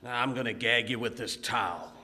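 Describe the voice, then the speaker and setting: A man's voice: mid-range voice, fairly quick, pushed and bright. A man playing a menacing, impatient outlaw killer in a Western radio play, barking curt threats. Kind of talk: old-time Western radio re-enactment.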